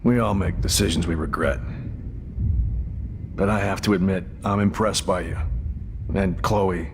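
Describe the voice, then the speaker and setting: An actor playing a gruff, middle-aged war veteran and stepfather, brooding and terse, in a video game drama. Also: deep voice, steady tone, unhurried, in a large hall, background noise. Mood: remorseful, subdued.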